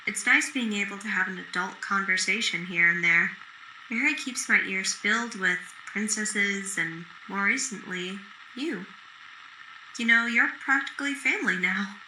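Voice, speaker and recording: A gracious young widowed mother played by a woman in an old-time radio drama. Her voice is strikingly bright and sincere, recorded in an echoey room with a little noise behind it. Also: medium pitch, normal speed. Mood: grateful, fond.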